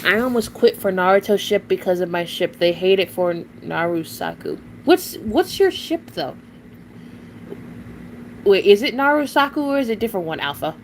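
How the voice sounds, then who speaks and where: A young woman of nineteen talks casually on a live stream, rambling, restarting sentences and giggling between thoughts. Her voice sits at an ordinary middle pitch. A faint hiss of noise runs underneath. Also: lively intonation, moderate pace, low-quality recording. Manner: rambling, chatty, answers chat, frequent giggles and restarts.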